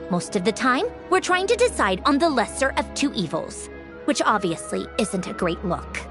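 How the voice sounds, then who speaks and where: Proud female official, video game: high, haughty, highly expressive, music clearly behind.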